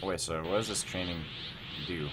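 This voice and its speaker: A man's voice: low-pitched, moderate pace, low-quality recording. A young man giving casual gameplay commentary, rambling and thinking aloud as he hosts a gaming video. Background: music.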